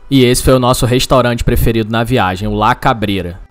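A man's voice: medium pitch, brisk, soft background music. A man narrating a travel vlog, giving casual, upbeat restaurant recommendations. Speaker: travel vlogger.

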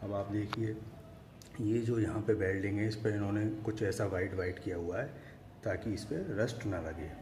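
Man, mellow tone, casual vlog explaining, phone recording at home, faint background noise. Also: lowish voice, normal speed. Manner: conversational, hands-on explaining while demonstrating. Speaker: motorcycle vlogger. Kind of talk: casual product comparison vlog. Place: at home by marble staircase.

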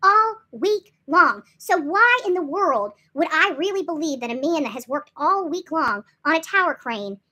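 A woman's voice: high voice, big pitch swings, moderate pace, few pauses, pushed and bright. A woman in her forties telling stories casually to camera, emphatic and repetitive, often tagging sentences with little check-in questions. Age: 40s. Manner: emphatic, repeats herself, often checks with "okay?" and "right?". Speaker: vlogger. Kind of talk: animated casual storytelling to camera. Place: small indoor room.

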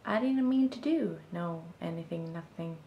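A woman's voice: fairly low pitch, expressive, normal speed, vocal fry, echoey room, lo-fi sound, a little hiss or hum. A young woman with a Swedish accent, speaking English as a second language, talks through her thoughts to the camera in a small room, searching for words as she goes. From Sweden. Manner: thoughtful, searching for words, gestures while talking. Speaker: vlogger. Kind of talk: reflective casual monologue to camera. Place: small room indoors, seated on a bed.

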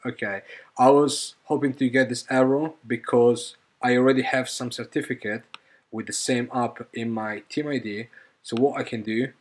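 A man presenting an informal software tutorial in a halting, hesitant way, often repeating phrases as he goes. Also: mid-range voice. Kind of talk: informal screen-recorded coding tutorial.

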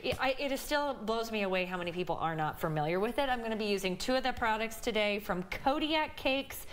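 A woman's voice: on the high side, lively intonation, a little fast, a bit bright. A woman in her thirties with a Midwestern American accent, a dietitian explaining a recipe in a chatty way with many fillers during a TV cooking segment. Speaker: dietitian guest. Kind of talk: cooking demonstration on morning TV. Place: home kitchen TV set.

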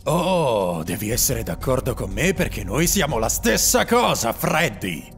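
A man voicing a scheming, taunting animatronic bear villain in a cartoon parody dub, with a theatrical gravelly delivery. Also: medium pitch, big pitch swings, a little slow, fairly bright tone, faint music behind, exaggerated voice. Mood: smug menace.

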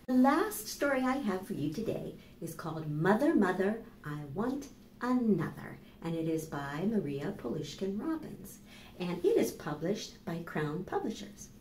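An American woman in her fifties reading and explaining to young children as a storytime host, with deliberate, animated delivery. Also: medium pitch, slight background noise. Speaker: children's librarian hosting storytime. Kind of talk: children's storytime narration and instruction. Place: small plain indoor room. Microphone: camera microphone a few steps away. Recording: roomy echo, voice far from mic.

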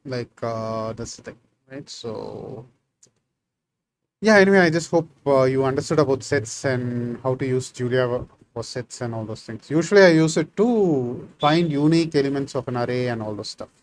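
A man's voice: mid-range voice, slight background noise. A man in his thirties whose first language is Tamil, giving a casual screen-share coding tutorial from a small room and thinking aloud with many fillers. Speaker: programming tutor.

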